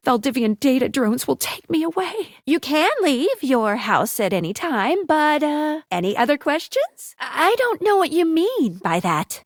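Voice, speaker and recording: A woman's voice: sits high, no room sound, clean studio sound. A woman voicing a perky, quirky, teasing young female guide in a video game, with a bubbly, playful, exaggerated manner. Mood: explaining. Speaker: tutorial guide in a dating simulator game. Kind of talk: video game character voice lines.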